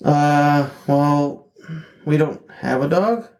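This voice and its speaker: A jittery husband faces trouble at his front door, as a man plays him. His slightly high voice trips over itself in ums and restarts. He tries to sound tough and keeps faltering. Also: drawn-out words, a little mellow. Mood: flustered. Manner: hesitant, stammering, with many fillers. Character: nervous flustered husband answering the door.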